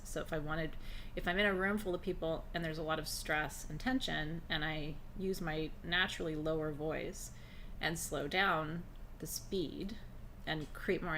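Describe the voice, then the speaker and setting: A middle-aged woman with a low voice that has a gravelly fry teaches a class on spiritual practice. She talks conversationally, slowing and quickening her words on purpose, with a bright edge to her tone. A faint hiss sits under her voice. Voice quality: naturally low voice. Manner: conversational, intentional pacing, laughs often. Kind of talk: live online class on shamanic drumming.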